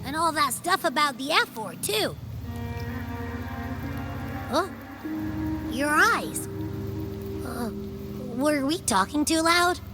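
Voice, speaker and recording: Curious young girl sidekick for a fantasy game: very high voice, slow, expressive, guileless delivery. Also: music in the background. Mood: startled, puzzled. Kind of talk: animated fantasy game voice acting.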